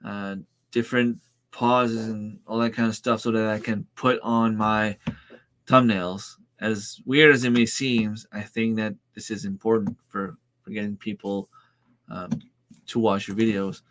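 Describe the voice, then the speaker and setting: French Canadian man, 30s, native French speaker; slow, relaxed livestream host, hesitant and friendly with chat. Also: lowish voice. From Northern New Brunswick, Canada. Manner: easygoing, hesitant, many fillers and restarts. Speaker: farm channel host. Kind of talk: casual livestream chat, answering viewer comments.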